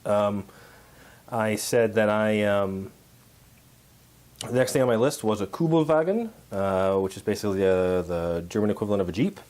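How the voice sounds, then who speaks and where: Hoarse man with a cold, halting delivery. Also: fairly low pitch, lively intonation, a little mellow. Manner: halting, many uh and um fillers. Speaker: miniature painting hobbyist. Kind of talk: casual show-and-tell vlog.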